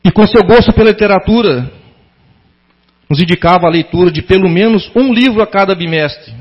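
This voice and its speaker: A middle-aged Brazilian man delivering a prepared public tribute in a formal, sentimental, deliberately phrased way. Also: sits high. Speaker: former pupil giving a tribute to a teacher. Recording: dull lo-fi sound.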